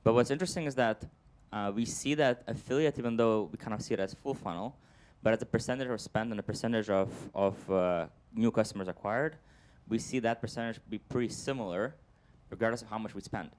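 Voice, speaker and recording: Smooth-voiced man explaining marketing on a panel. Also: fairly low pitch, a little fast, hardly any pauses, a little mellow. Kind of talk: panel discussion answer.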